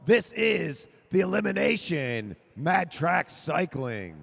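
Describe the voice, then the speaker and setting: A man calling a track cycling race live, rising to shouts as the sprint builds. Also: fairly high pitch, slow pace, creaky, breathy edge. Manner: excited, shouting names over the finish. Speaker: race announcer. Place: velodrome over a PA.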